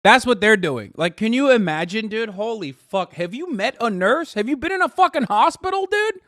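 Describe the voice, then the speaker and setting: A man's voice: high-pitched, very animated, moderate pace, bright, forceful tone, no room sound, studio quality. A man streaming, reacting to clips with casual, exasperated, profane commentary. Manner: exasperated, sarcastic, swears a lot.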